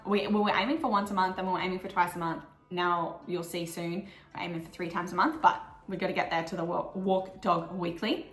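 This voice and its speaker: A young Australian woman talks through her goals in a chatty, upbeat vlog, her voice croaky from the morning. She sits fairly low for a woman. The sound bounces around an echoey room. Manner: chatty and upbeat, with frequent "like" fillers. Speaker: vlogger. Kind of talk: casual monthly goals vlog.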